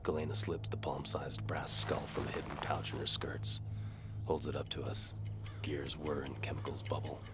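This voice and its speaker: Very deep, hard-boiled male narrator. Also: monotone, normal speed, over music. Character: down-on-his-luck hired crossbowman, weary and cynical, telling his story. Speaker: narrator and voice performer. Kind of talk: scripted first-person audio drama narration.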